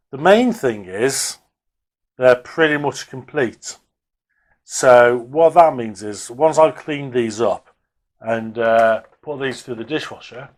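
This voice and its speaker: A man talks casually at a medium pitch as he works at a hobby bench. His delivery is unscripted and hesitant, thinking aloud as he presents to viewers. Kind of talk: casual unscripted workbench commentary.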